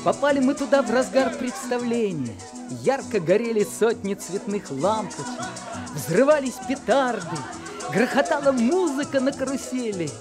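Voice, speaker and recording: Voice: mid-range voice, lively intonation, normal speed, over music, close to an everyday voice. A hopeful teenage boy tells his own story, his voice rising and falling a great deal, as an actor plays him. He speaks in a wistful, storytelling way in an old radio play.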